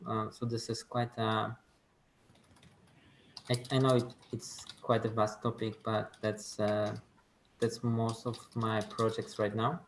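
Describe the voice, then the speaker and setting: A man speaks slowly over a video call, his voice gravelly and his pitch flat. He pauses often with fillers as he answers questions. The room around him sounds echoey. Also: slight background noise. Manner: slow, hesitant, with many fillers. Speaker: robotics consultant answering questions. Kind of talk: casual video call chat.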